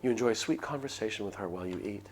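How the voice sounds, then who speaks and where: A man's voice: lowish voice, rapid-fire, slight background noise. A man reading a visual novel aloud on a stream, narrating the story expressively. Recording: stream with faint background ambience.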